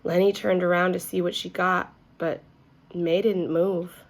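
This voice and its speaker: A woman's voice: lowish voice, little pitch movement, measured pace. A woman reading a children's story aloud expressively, as if to young listeners. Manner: addresses young listeners with questions.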